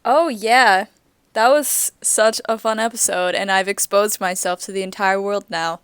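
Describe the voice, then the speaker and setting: A woman in her twenties co-hosts a casual podcast chat, talking freely with frequent giggles and "like" fillers. Her voice is smooth and clear, a little groggy from the early hour. The sound is close and dry with no room around it. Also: mid-range voice, a little slow, fairly bright tone, no room sound. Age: 20s. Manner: chatty, giggly, lots of "like" fillers. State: groggy morning voice. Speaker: podcast co-host.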